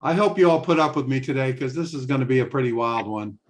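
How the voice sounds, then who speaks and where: A man's voice: medium pitch, lively intonation, fast. Joking, rambling man hosting a video call. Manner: joking, rambling, loose talk with fillers. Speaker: host of a live video call. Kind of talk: casual group video chat.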